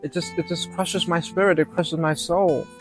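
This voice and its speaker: A man's voice: on the high side, steady tone, normal speed, music in the background, lightly acted, rough recording. A man doing a rambling pop star. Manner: rambling, full of "you know" fillers. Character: man impersonating a famous pop star being interviewed. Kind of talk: comedic mock interview.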